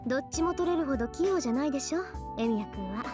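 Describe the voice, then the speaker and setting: A woman playing a sharp-tongued, composed teenage girl in a Japanese visual novel, brisk, scolding and haughty. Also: sits high, expressive. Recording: background music under game dialogue.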